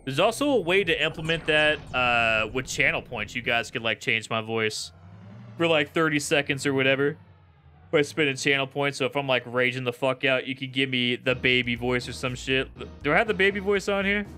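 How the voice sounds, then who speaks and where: A man's voice: mid-range voice, normal speed, pushed and bright, slight background noise. A young man streaming a retro video game, commenting casually, with frustrated swearing. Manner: frustrated, swearing, laid-back. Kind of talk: casual live gameplay commentary.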